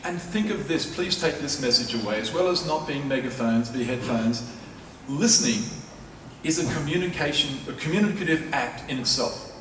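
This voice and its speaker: A man's voice: medium pitch, animated, normal speed, fairly bright tone. A man giving a public lecture as a professor, speaking in an emphatic, persuasive way and repeating key phrases for stress. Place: large lecture hall at a university. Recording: echoey hall, distant mic, faint noise.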